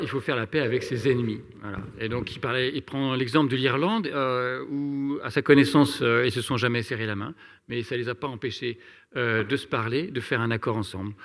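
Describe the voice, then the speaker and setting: A man's voice: mid-range voice, vocal fry, fairly bright tone. Hesitant male interpreter. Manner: hesitant, many fillers, summarizing. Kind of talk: live consecutive interpreting.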